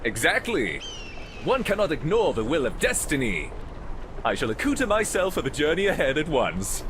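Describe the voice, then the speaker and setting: Vain gentleman hero, high voice, wildly swooping pitch, pompous theatrical flourish, game cutscene. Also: sing-song, normal speed, noisy background. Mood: resolute. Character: flamboyant, vain, self-styled gentleman hero and inspector. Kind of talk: fan-dub game cutscene acting.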